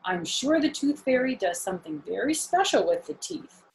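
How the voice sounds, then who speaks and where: A woman's voice: on the high side, big pitch swings, moderate pace, creaky, a little hiss or hum, voice pushed up. A woman voicing a well-meaning storybook mother, reassuring and eager as she explains things emphatically. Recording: roomy, echoey home recording.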